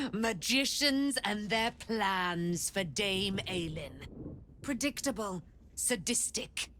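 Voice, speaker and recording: An actress playing a proud, defiant captive warrior woman in a video game, scornful and deliberate. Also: medium pitch, expressive, fairly bright tone, slight background noise. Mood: scornful defiance.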